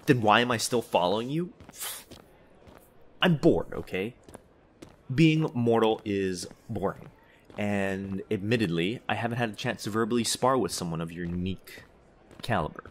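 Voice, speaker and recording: A man's voice: lowish voice, moderate pace, a little hiss or hum, natural voice. A man playing a grumpy, easily flustered vampire in a comedy audio roleplay, sarcastic and huffy in manner. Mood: irritated, haughty. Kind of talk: comedy ASMR roleplay acting.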